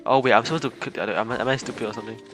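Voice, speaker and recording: A man live-streaming a game, giving casual, halting, reactive commentary with a self-deprecating streak. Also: mid-range voice. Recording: game music behind the voice. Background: game music.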